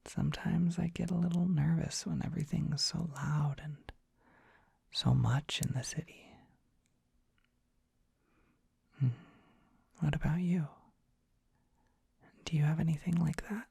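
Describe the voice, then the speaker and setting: A performer voicing a gentle, shy young dragon boy in an ASMR comfort roleplay, speaking in a soft breathy whisper with a hesitant, tender, soothing manner. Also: medium pitch, fairly even intonation, moderate pace, pauses often, no echo, clean studio sound, full character voice.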